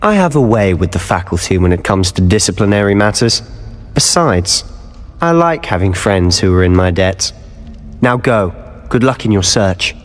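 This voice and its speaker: A man's voice: lowish voice, sing-song, moderate pace. A man playing a confident, roguish young student in a video game, with a British accent and an assured, conspiratorial manner. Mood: cocky. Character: confident, roguish male student schemer, loyal and cheeky. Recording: long reverb, faint noise.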